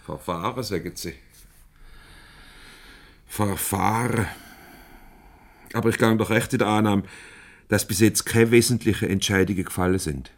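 Urbane low-voiced Swiss German director. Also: male voice. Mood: probing. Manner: measured, jovially formal. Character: urbane, probing company director, hospitable and self-assured. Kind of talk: 1970s comedy radio play acting.

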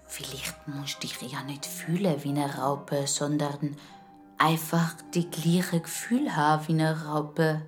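A woman voicing a kindly older neighbour, soothing and slow, with a hushed, breathy voice. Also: low voice, flat delivery, faint music behind, pitched below the performer's own voice. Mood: gently coaxing.

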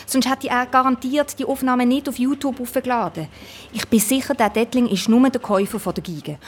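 An actress with a Swiss accent playing a stubborn, impulsive violinist in a naturalistic radio play, insistent in manner. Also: female voice, a little high, a little fast, husky. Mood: determined.

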